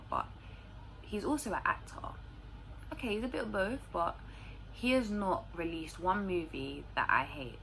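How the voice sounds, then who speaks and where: A woman's voice: deep voice, a bit bright. A woman with a London accent chatting casually and informally to camera as a vlogger, using plenty of slang. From London, England. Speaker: vlogger answering viewer questions. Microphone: phone recording. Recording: clearly noisy room recording.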